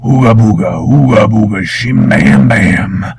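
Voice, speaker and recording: A man playing a booming, chanting swamp monster. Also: animated, unhurried, creaky, background noise. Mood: menacing. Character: growling hairy swamp monster, male, menacing.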